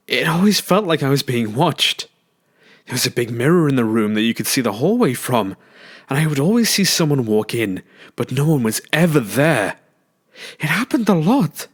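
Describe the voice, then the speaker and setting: A man narrating a scary story aloud in an expressive, storytelling way. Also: medium pitch.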